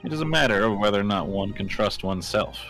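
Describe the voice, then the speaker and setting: A man acting a composed, eloquent gentleman in a video game fan dub, speaking formally and with measured care. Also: on the low side, animated, soft background music. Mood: composed, courtly.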